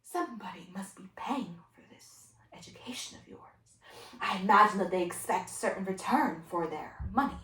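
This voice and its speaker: A woman's voice: medium pitch, expressive, moderate pace, fairly bright tone, far from the mic, natural voice. Casting: woman as earnest, weary-of-nonsense female teacher for solo stage drama, restrained and stern, echoey recording. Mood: dry, reproving. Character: exasperated, earnest female teacher, a former psychiatrist. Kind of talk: solo dramatic interpretation performance.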